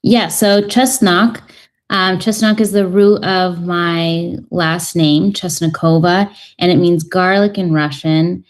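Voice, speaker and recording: A low-pitched woman in her thirties speaks as a guest on a radio talk show. She talks in a thoughtful, halting way, with frequent ums and uhs, explaining her cooking. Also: steady tone. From United States. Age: 30s. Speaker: cookbook author guest. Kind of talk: radio interview.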